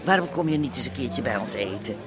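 A woman's voice: very deep voice, animated, fast, crowd noise. An actress playing a worried, chatty middle-aged mother in a radio drama. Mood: concerned, chatty. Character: worried, chatty mother of a stubborn grown son. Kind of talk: naturalistic radio drama dialogue. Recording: busy outdoor street noise behind.

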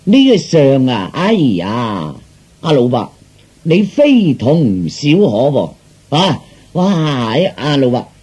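An actor playing a grandiose, flattering street fortune teller who haggles slickly, in declamatory sing-song patter of comic storytelling. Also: male voice, mid-range voice, takes it slowly, husky, heavily acted. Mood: grandiose pronouncing. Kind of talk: theatrical Cantonese comic storytelling.

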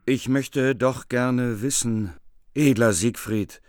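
An actor playing a polite courtly lord, formal and deliberate, in a German radio drama. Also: male voice, mid-range voice, no echo, clean studio sound, lightly acted. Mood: politely probing. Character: polite courtly lord questioning a noble visitor.

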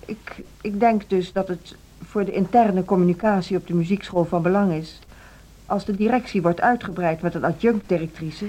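An actress playing a composed, businesslike music school directress in her fifties, speaking formally and in measured phrases in a radio drama. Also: fairly low pitch, dark tone, lightly acted, low-quality recording. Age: middle-aged. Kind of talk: naturalistic radio drama dialogue. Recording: radio play with clear background noise.

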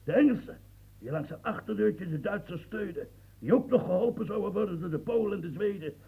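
An old, feverish statesman, gravelly and husky, stumbling over his words; tinny old radio recording. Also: high voice, lively intonation, brisk, mellow, full character voice. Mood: feverish ranting. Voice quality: gravelly, stammering. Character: aging French statesman, feverish, rambling, near death. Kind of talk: radio play acting.

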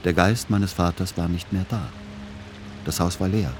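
An actor playing a weary, melancholic middle-aged son in a radio play, speaking in an understated, measured, reflective way. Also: male voice, sits low, steady tone, music in the background, natural voice. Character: weary, melancholic adult son narrating his journey. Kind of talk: literary first-person narration and radio play dialogue.

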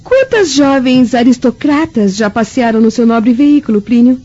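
A spirited young Roman aristocrat in a radio drama. Her voice is high and rounded, with a Brazilian accent. She speaks with commanding, eloquent assertiveness. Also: expressive, normal speed, mellow, dull audio. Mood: indignant. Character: proud, defiant young Roman noblewoman with compassion.

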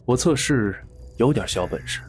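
A man playing a smooth, menacing casino boss in an audio drama, suave, teasing and commanding. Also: medium pitch, expressive, normal speed, faint music behind, close to an everyday voice. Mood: falsely genial. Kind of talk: Mandarin audio drama acting.

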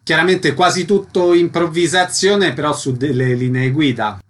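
A man hosting a radio talk segment, asking short, brisk questions. His voice sits a little high. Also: fairly even intonation. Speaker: radio host. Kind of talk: radio interview. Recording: radio broadcast.

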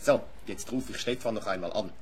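An actor playing a proper, worried hotel boss in a radio play, earnest and formal. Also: male voice, on the low side, fairly quick, slight background noise, lightly acted. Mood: concerned.

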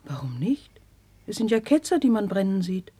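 A woman playing a lively, frivolous, callously cheerful court lady in a 1950s radio drama. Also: medium pitch, moderate pace, breathy edge. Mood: eager, blithe. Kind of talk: 1950s German classical radio drama acting.

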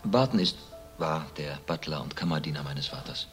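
Low-voiced, reserved gentleman. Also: animated, normal speed, faint music behind. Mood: sober, subdued. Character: reserved well-off adult son of murdered businessman, sober. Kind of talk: German radio crime drama dialogue.

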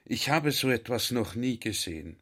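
An actor playing a talkative, earnest army general in a radio drama, confiding and baffled by ideas. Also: male voice, medium pitch, lively intonation, normal speed, a bit bright. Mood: admiring, confiding.

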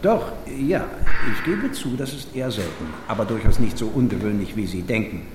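An actor as a matter-of-fact male doctor in an audio drama, in a reverberant room with noise behind him. His delivery is emphatic and instructive, with lively swings of pitch. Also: a little fast, close to an everyday voice. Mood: brisk, firm. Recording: very echoey room, clear background noise.

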